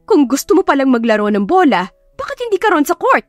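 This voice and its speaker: A woman's voice: sits high, sing-song, no echo, soft background music, pitched above the performer's own voice. A woman voicing a stern middle-aged mother who nags and scolds her grown son. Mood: scolding. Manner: scolding, fast nagging.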